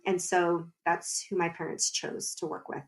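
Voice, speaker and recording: A woman's voice: on the low side, level tone, moderate pace. A woman, a podcast guest, talking animatedly, explaining at length and often breaking into laughter. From United States. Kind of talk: conversational podcast interview.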